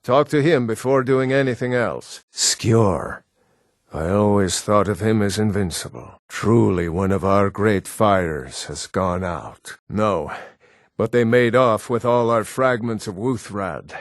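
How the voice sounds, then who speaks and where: A man's voice: on the low side, slow pace, dry recording, clean studio sound. A man voicing a proud, stern Nord warrior for a fantasy video game, with a solemn, commanding and terse delivery. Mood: grieving, grave. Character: gruff proud Nord warrior, stern battle-hardened brother-in-arms.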